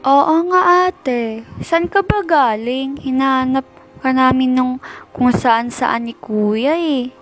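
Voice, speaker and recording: A woman's voice: high voice, slow. A woman playing a worried young girl, the youngest sibling, in an amateur radio drama. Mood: curious, complaining. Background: background music.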